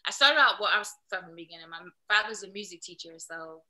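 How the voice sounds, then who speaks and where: A young woman from Philadelphia, a singer, telling her life story in a relaxed interview over a video call, with fillers and easy laughs. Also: lowish voice, fast talker. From Philadelphia, USA. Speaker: singer, guest. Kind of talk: casual interview. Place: small indoor room.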